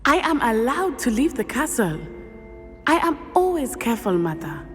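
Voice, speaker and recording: A woman's voice: fairly high pitch, very animated, normal speed, long reverb tail, faint music behind. A woman playing an earnest, independent-minded schoolgirl witch in a game, articulate. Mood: frustrated, pleading. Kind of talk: game cutscene dialogue.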